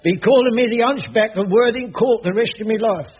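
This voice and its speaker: High-pitched grumbling London caretaker. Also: male voice, fairly quick. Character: grumbling, wily working-class male jobsworth caretaker. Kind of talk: radio sitcom acting. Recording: dull old radio comedy recording.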